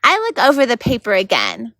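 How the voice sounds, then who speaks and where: A woman's voice: on the high side, huge pitch swings, brisk, dry recording. A woman reading a children's novel aloud as a volunteer storyteller. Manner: coaches child readers with quiet prompts.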